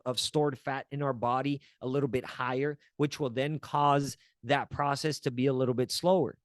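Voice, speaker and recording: A man's voice: a little high, no room sound, clean studio sound. A man teaching as a wellness coach, earnest and encouraging, often checking in with the listener and restarting phrases as he searches for words. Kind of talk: teaching talk to camera.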